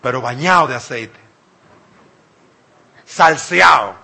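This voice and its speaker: A man's voice: medium pitch, slow pace, creaky, pushed and bright, slightly rough. A man from the Dominican Republic, speaking with a Dominican Spanish accent, preaching an emphatic sermon and repeating phrases for effect.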